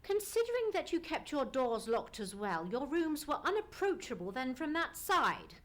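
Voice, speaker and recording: A woman playing a shrewd, assured gentleman detective, formal and precise, in a live radio play. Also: sits high, very animated, moderate pace. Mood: probing. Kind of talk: live old-time radio play acting.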